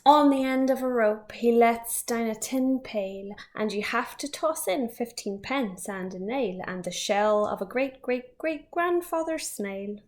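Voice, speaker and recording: Slow, expressive woman reading aloud. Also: fairly high pitch. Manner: expressive storytelling with asides. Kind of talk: reading a picture book aloud.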